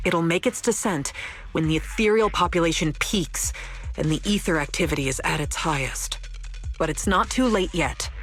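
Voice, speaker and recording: A woman voicing a composed, analytical strategist in game story dialogue, explaining things in a measured, scholarly way. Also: low voice, lightly acted.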